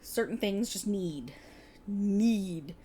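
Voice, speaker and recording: Woman playing an animated, sarcastic best-friend voice actor. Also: mid-range voice, very slow, a little hiss or hum. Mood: grudging, playful. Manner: sarcastic, chatty, casual, hesitant asides. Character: exasperated, playful young woman voice actor bantering with best friend. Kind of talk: ASMR roleplay, one side of a conversation.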